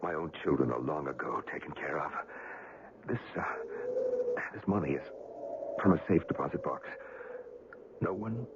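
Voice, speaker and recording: An actor playing a weary, dying older man in a radio drama, speaking in halting, laboured phrases and short of breath. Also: extremely low pitch, expressive. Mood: weak, earnest. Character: dying, world-weary older man, gravely injured, insistent. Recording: muffled, noisy old radio recording.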